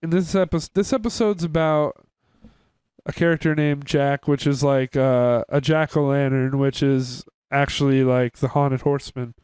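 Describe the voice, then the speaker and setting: Male podcast co-host, relaxed conversational review style, clean smooth tone in a dry recording, loosely phrased. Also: mid-range voice, normal speed, no room sound. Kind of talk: casual chat reviewing cartoon episodes.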